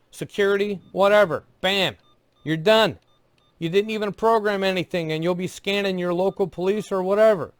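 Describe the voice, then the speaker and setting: A man with a notably high voice narrates a product review, recorded very dry with no room sound. His delivery is scripted yet conversational. He keeps to plain, clipped phrases and slips in dry asides. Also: no room sound.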